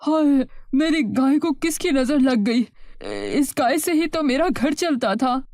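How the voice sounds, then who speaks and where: A woman's voice: sits high, takes it slowly, a little mellow, dry recording, studio quality. A woman voicing a poor, humble, distressed village farmer in a cartoon story.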